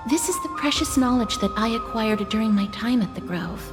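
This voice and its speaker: Polite, higher-voiced young scholar woman by an actress. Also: expressive, a little fast, natural voice. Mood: earnest, inquiring. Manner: earnest, precise, articulate. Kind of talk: scripted video game voice acting. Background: faint game music.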